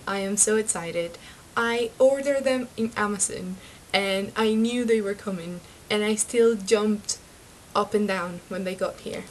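A young woman from Venezuela, chatty and excitable, talking to her webcam about books in a small bedroom. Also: mid-range voice, unhurried, a little hiss or hum, rough recording. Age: young adult. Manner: chatty and excitable, laughs at her own slips. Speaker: book vlogger. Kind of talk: casual chat to camera. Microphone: webcam mic.

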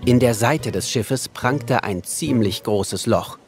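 Man, narrator, scripted children's story, mid pitch, clear background music. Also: takes it slowly.

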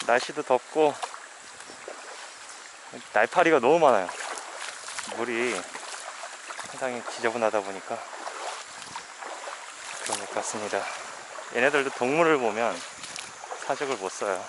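A man's voice: medium pitch, unhurried, long pauses. Guy fishing a shallow creek, mumbling to himself kinda dry and tired, helmet cam, water noise behind. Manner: muttering to himself, wry and weary. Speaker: fly fishing vlogger. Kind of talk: casual first-person fishing narration. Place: outdoors by a shallow mountain stream. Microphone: head-mounted action camera. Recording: outdoor stream noise behind.

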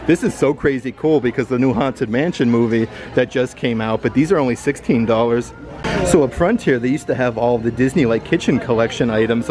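A man vlogging an upbeat shopping walkthrough in an outlet store, filming handheld and reading off prices. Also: mid-range voice. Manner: upbeat, enthusiastic, lists prices.

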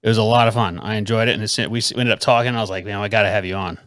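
A man with a lowish voice hosts a relaxed interview, asking friendly, easygoing questions. His voice is close and dry, picked up by a headset mic on a video call. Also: racing, no echo. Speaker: podcast co-host. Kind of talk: casual interview chat.

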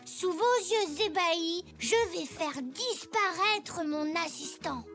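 A woman's voice: extremely high pitch, animated, slow pace, fairly bright tone, heavily acted. A woman voicing an eager young zebra boy in a preschool cartoon, speaking like a theatrical stage magician. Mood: showy, proud. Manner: theatrical, proclaiming. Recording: music under the voice.

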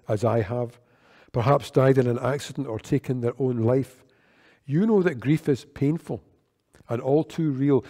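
A man, preaching an earnest, measured sermon at a church lectern microphone. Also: mid-range voice, warm tone. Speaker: worship leader. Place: church sanctuary. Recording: long church reverb.

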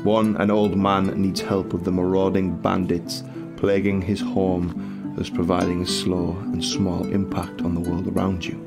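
A man's voice: deep voice, little pitch movement, a little slow, creaky. A man playing a mysterious hooded sage in a fantasy audio drama, with a formal, portentous manner. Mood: grave, cryptic. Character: mysterious hooded human sage, cryptic and knowing. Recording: music under the voice.

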